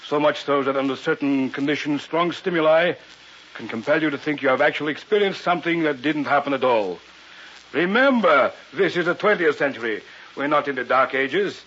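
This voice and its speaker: A formal, commanding radio-drama doctor. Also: male voice, on the high side, brisk, a bit bright. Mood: lecturing. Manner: formal, authoritative, theatrical. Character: secretive doctor, commanding host of an island weekend. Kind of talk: old-time radio drama acting. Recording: muffled, hissy vintage radio recording.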